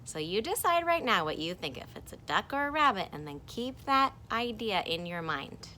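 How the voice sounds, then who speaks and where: A woman teacher reading a picture book aloud to children, animated and encouraging, with playful sound effects. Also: mid-range voice, moderate pace, slight background noise.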